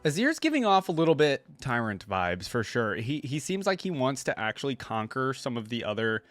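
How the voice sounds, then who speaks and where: A man's voice: medium pitch, sing-song, brisk, fairly bright tone, no room sound, soft background music. A man hosting a reaction video, musing aloud in informal, casual commentary.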